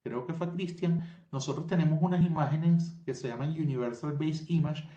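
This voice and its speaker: Venezuelan man, mellow, explanatory tech speaker on a dull, echoey video call. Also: fairly high pitch, moderate pace. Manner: explanatory, technical, self-correcting. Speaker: tech presenter. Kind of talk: webinar presentation. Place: home office in Colombia. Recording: dull, roomy webinar audio.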